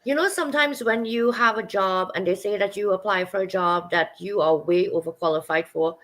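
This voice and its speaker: A woman's voice: mid-range voice, fairly quick, a bit bright. A woman hosting an interview podcast, talking frankly and casually with curious follow-up questions. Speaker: podcast host.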